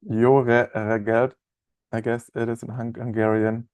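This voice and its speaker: A man in his thirties with a German accent, chatting as a trainer in a live online software webinar, with many fillers and restarts. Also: mid-range voice, little pitch movement, unhurried, clean tone, dry recording. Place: office room, indoors.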